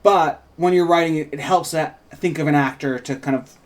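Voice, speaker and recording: A man's voice: on the high side, normal speed. A man co-hosting a script read, chatting casually and explaining his views in an opinionated way. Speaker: co-writer and podcast host. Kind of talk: casual chat and script narration.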